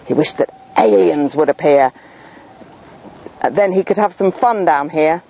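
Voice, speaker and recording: A woman reading a rhyming storybook aloud to children in an expressive, theatrical way. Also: deep voice, unhurried, vocal fry, low-quality recording.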